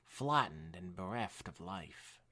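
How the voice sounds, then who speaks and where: A man's voice: low voice, expressive, slow, sharp tone. A man co-hosting a casual podcast, reading a story aloud and making dry, teasing remarks. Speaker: podcast co-host.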